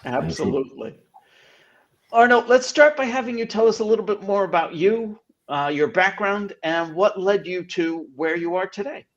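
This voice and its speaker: A man's voice: sits high, expressive, normal speed. A man hosting a business talk show, reading a polished introduction and questioning his guests over a video call. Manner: upbeat, polished announcer delivery. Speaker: podcast host. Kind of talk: scripted show introduction and interviewing. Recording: dull lo-fi online call audio.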